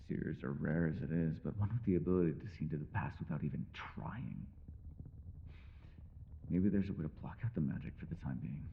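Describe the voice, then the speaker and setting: A man's voice: a bass voice, gravelly, phone-quality sound, a little hiss or hum, full character voice. A man playing a mysterious, learned elf in a fantasy ASMR roleplay, talking to the listener in a bookish, rambling and playfully formal way. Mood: worried, secretive. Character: mysterious, learned male elf, talkative and gently teasing.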